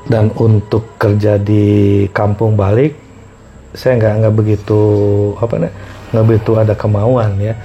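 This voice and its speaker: A middle-aged man telling personal stories casually as a podcast guest, often pausing and repeating himself to find words. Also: on the low side, flat delivery, mellow, highs cut off, faint music behind. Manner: halting, repeats phrases as he searches for words. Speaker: podcast guest recounting past experiences.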